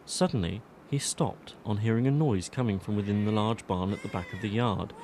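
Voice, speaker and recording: A man's voice: lowish voice, fairly quick, darkish tone, slight background noise. A man reading scripted narration for an audiobook drama as its storyteller.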